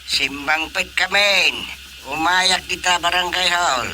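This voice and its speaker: A man's voice: high-pitched, takes it slowly, bright, forceful tone, a little hiss or hum, full character voice. A man with an Ilocano accent playing a bossy, indignant village chairman in a radio comedy drama. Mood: brisk, commanding.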